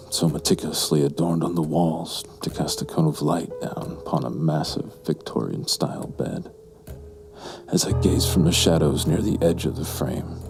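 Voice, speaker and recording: A man playing a brooding, lustful, menacing narrator in a horror audio drama, with slow, deliberate, breathy delivery. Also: very deep voice. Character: brooding, lustful, menacing male narrator recounting a dark memory.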